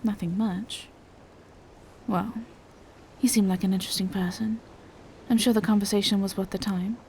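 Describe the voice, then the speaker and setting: A woman voicing a gentle, bashful female vampire in an intimate roleplay audio, speaking in soft, hesitant half-whispers. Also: mid-range voice, fairly even intonation, moderate pace, a little hiss or hum, close to an everyday voice. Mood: tender, teasing. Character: gentle, bashful female vampire in monstrous true form, lovestruck.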